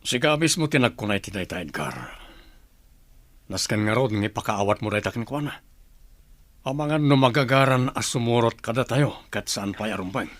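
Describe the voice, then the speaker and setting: An actor with an Ilocano accent playing a cautious, commanding rebel leader in a radio drama. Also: male voice, mid-range voice, animated, moderate pace, dry recording, lightly acted. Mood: stern.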